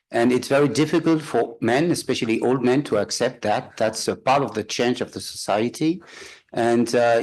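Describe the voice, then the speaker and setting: Man, halting panel remarks. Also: medium pitch, darkish tone, poor audio. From France. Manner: halting, with frequent "uh" pauses and restarts. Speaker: symposium panelist.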